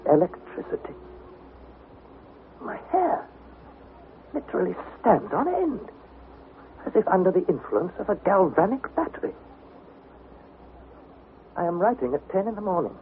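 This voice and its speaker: Young male adventurer, high voice, earnest and anxious, dramatic journal narration, heavy background noise, radio play. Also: very animated, moderate pace, pauses often. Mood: uneasy, foreboding. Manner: earnest, intense, literary delivery. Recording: noisy, narrow-band vintage radio recording.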